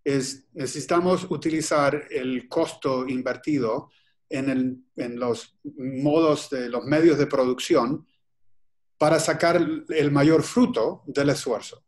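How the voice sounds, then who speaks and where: A man from Chile giving a webinar presentation over a video call, speaking in a halting, deliberate way with frequent pauses and restarts. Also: medium pitch. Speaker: guest presenter.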